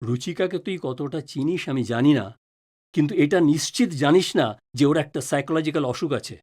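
A man's voice: on the high side, fairly quick, darkish tone, natural voice. A man playing a patient, easily flustered middle-aged father who is wrongly accused in an audio drama. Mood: firm. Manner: stammers and repeats words when flustered. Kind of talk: Bengali audio drama acting.

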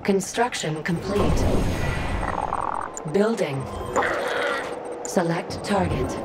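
A woman voicing a cold alien AI announcer in a strategy game, giving terse, measured, commanding status reports. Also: on the low side, animated. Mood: impersonal, commanding. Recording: loud game music over voice.

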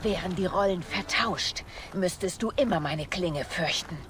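A woman's voice: on the low side, unhurried, noisy background, lightly acted. An actress playing a cynical, manipulative female spymaster, a proud betrayer who speaks with taunting self-assurance in a dubbed video game. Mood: defiant. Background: loud music.

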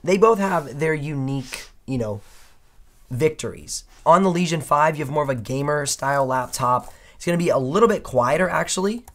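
A man in his thirties with a hoarse, worn voice, giving an upbeat, chatty laptop comparison review at a studio desk. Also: fairly high pitch, expressive, normal speed. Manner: upbeat, chatty, punctuates points with "okay?". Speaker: tech reviewer. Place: indoor studio desk, dim room.

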